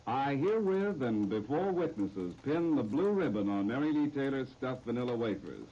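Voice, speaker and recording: A man's voice: mid-range voice, big pitch swings, normal speed, mellow. An American man working as a 1930s radio announcer, reading sponsor copy in a formal, persuasive way. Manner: formal, persuasive salesman phrasing. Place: radio studio. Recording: dull, noisy 1930s radio disc recording.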